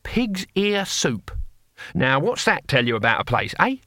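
A man voicing a scrawny old barbarian warrior in an audiobook, gruff, sarcastic and cackling, with rough colloquial speech. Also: fairly high pitch, very animated, measured pace, bright, forceful tone, dry recording, clean studio sound, heavily acted. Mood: cackling, amused. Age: older. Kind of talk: audiobook character voice.

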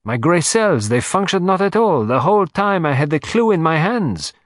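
An excitable, vain elderly detective, as a man reads him, bursts out in fussy, theatrical exclamations. The voice leaps high and swings widely. The sound is close and dry. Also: normal speed, dry recording. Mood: agitated.

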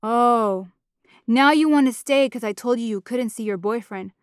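A woman voicing a big, domineering mother who yells and curses at her daughter in a storybook reading. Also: fairly high pitch, moderate pace, clear, resonant tone, no room sound, clean studio sound, close to an everyday voice. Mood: angry, scolding. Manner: yelling, cursing, scornful. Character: harsh foul-mouthed mother, big, furious, domineering.

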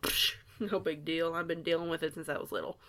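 A woman's voice: sits low, steady tone, normal speed, a bit bright. A woman voicing a guarded eighteen-year-old werewolf delinquent who stays polite, with a folksy, hesitant manner, in an audio roleplay. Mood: casual. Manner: folksy, hesitant, stammering, deferential.

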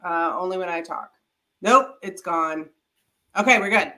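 A woman's voice: fairly low pitch, unhurried, sharp tone. Woman with a head cold, chatty. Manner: chatty, laughs often, many fillers. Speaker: podcast co-host. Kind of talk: casual chat.

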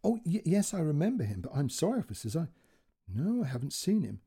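A man voicing a reserved, politely evasive woman in a story reading, with a hesitant, apologetic, soft-spoken manner. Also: fairly high pitch, big pitch swings, a little fast, slightly rough, voice pushed up, heavily acted.

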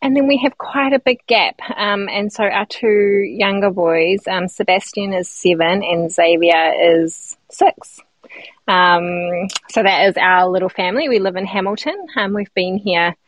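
A woman in her thirties, a midwife and guest on a podcast, talking in a relaxed, thoughtful way with many ums and likes. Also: on the low side, dry recording, rough recording. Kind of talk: casual interview chat.

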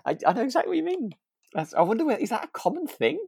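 A British man co-hosting a podcast in relaxed casual chat, musing aloud in a hesitant way. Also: very high voice, fast talker, gravelly, breathy edge, no echo, studio quality. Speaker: podcast co-host.

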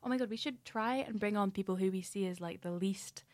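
A young woman from Scotland with a mellow Scottish accent, chatting casually and in a rambling, giggly way as a podcast co-host. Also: mid-range voice, a little fast, dry recording. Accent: soft Scottish. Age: young adult. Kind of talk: casual chat.

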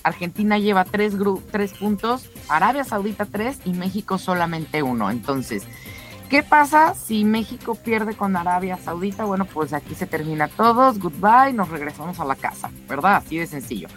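A woman's voice: medium pitch, lively intonation, normal speed, a bit bright. Female radio co-host, Mexican accent, conversational sports news delivery, chatty, faint music behind. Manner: chatty, addresses co-hosts directly. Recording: music under the voice.

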